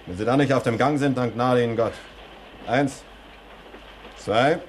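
An actor playing a menacing, impatient thug who gives curt commands in a 1960s German radio crime drama. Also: male voice, medium pitch, a little slow, lightly acted. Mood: impatient menace. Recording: faintly noisy old 1960s radio recording.

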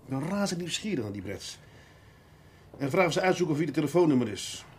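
A man acting a curious, stubborn senior police detective, musing and gently commanding in a naturalistic radio drama. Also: fairly high pitch, sing-song, quick pace. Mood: brusque, curious. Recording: very noisy recording, car and traffic. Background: car and vehicle noise.

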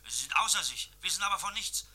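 An actor playing an eager, dutiful police assistant who reports briskly to his boss in a radio crime drama. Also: high voice, animated, sharp tone, a little hiss or hum, heavily acted.

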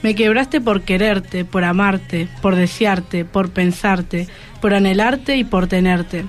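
A teenage girl's voice of medium pitch with a Rioplatense Argentine accent. She speaks as a student guest on the radio, over faint background music. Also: takes it slowly.

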